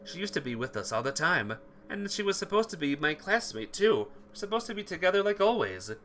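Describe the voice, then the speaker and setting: A man's voice: high-pitched, big pitch swings, a little fast, fairly bright tone, a little hiss or hum, voice pushed up. Man voicing an anguished, impassioned teenage schoolboy. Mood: anguished, angry. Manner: impassioned, emphatic. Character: anguished teenage schoolboy pleading for his childhood friend.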